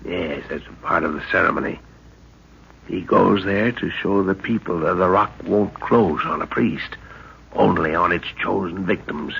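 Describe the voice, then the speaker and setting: A gravelly, stern expedition father. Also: low voice, normal speed, a bit bright. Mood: determined. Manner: gruff, commanding and scornful. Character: stern male father leading a jungle expedition. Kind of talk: 1930s radio drama acting. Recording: dull old radio recording with hiss.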